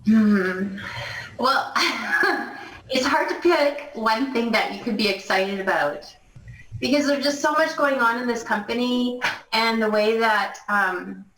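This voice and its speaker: A woman's voice: fairly high pitch, fairly bright tone, a little hiss or hum. Gushing middle-aged woman, echoey distant mic. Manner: gushing, emphatic. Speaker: company distributor giving testimonial. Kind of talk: unscripted enthusiastic testimonial.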